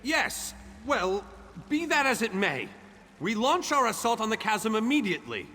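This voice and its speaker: An actor playing a proud, pompous archmage who commands his mages with brisk theatrical diction in a fantasy game. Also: high voice, very animated, bright, forceful tone, breathy edge, full character voice. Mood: brisk, self-assured. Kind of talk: dramatic fantasy game dialogue. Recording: reverberant hall with background noise.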